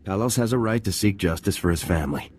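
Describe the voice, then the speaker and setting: A steady military lieutenant from a video game. His voice is fairly low and quick, with clipped, businesslike delivery. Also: slight background noise. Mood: composed, curt.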